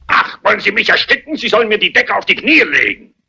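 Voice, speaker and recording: An actor playing a cantankerous, stubborn old family patriarch in a crime film, grumbling in a raspy voice. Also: male voice, high-pitched, very animated, fast, pushed and bright, heavily acted. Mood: querulous. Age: older. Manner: grumbling, querulous. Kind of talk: German dubbed crime film dialogue.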